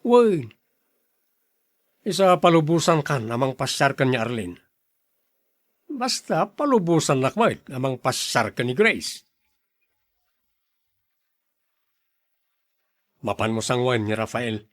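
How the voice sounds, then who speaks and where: A man's voice: mid-range voice, sing-song, a little slow, pauses often, no room sound, clean studio sound. A man with an Ilocano accent acting a stern, blustery older brother who turns smitten suitor in a radio drama. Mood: bargaining. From Philippines.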